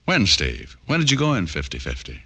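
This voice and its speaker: An actor playing a wry, easygoing private detective in old radio drama, with a laconic, teasing manner. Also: male voice, sits low, huge pitch swings, fairly quick, sharp tone, slightly rough, no room sound, heavily acted. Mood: cool, firm. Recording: old-time radio broadcast with music.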